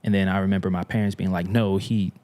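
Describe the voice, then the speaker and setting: A man's voice: low voice, level tone, fast, mellow. A young man from New Orleans, a drummer and music professor, telling stories in a casual, slangy podcast interview. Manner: laid-back, slangy, laughs often.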